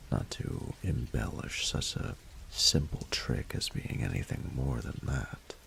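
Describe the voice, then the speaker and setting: A man playing a regal, doting dragon ruler in a romantic audio roleplay, speaking formally in a gravelly, breathy murmur. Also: very deep voice, fairly bright tone, a little hiss or hum, exaggerated voice, rough recording. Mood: tender, earnest. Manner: formal, unhurried, eloquent, courtly. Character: regal male dragon ruler, doting, patient, courtly mentor.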